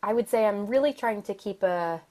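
A woman from the Philadelphia area with an American accent, a violin soloist talking thoughtfully and positively as a podcast guest, often pausing with ums and soft laughs. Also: mid-range voice. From Philadelphia area, USA. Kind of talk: podcast interview.

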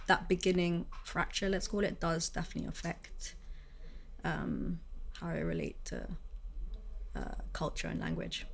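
A woman speaks with a fairly low voice that has a gravelly edge. She is an artist, talking reflectively and haltingly, reaching for her words. She often pauses and restarts. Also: big pitch swings, slight background noise. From born in Malaysia, raised in Sharjah, then London from six. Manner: searching, halting speech with many fillers and restarts. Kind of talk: reflective interview talk.

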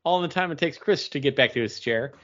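A man's voice: fairly high pitch, expressive, fast talker, fairly bright tone, lo-fi sound. A man co-hosting a podcast, chatting casually with the other hosts. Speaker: podcast co-host. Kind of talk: casual chat.

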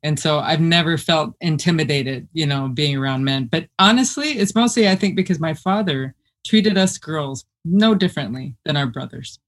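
A woman from the US Midwest with a deep voice, telling her story as an interview guest in a lively, chatty way and laughing as she talks. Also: moderate pace, hardly any pauses.

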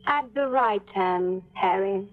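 A woman's voice: medium pitch, takes it slowly, lightly acted. An actress as a wary young foreign woman. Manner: wary, clipped, formal English. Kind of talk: 1950s radio drama acting. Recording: muffled 1950s radio broadcast with music.

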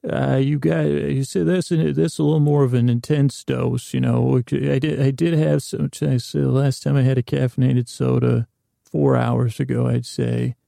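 A man hosting a bedtime podcast with a rambling, halting, goofy improvised monologue that trails off mid-sentence. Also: mid-range voice, flat delivery, vocal fry, mellow, dry recording, studio quality. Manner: goofy, whimsical. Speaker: podcast host.

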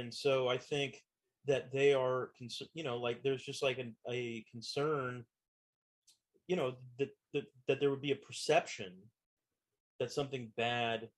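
A man's voice: mid-range voice, fairly even intonation, unhurried. A man chatting casually, hedging and filling his talk with "you know" and "like". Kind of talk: casual chat about a TV show.

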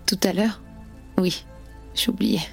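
A woman's voice: medium pitch, fairly even intonation, takes it slowly, vocal fry, soft background music. A woman acting a passionate, melancholy young revolutionary in an audio drama, earnest and lyrical. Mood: wistful, resigned.

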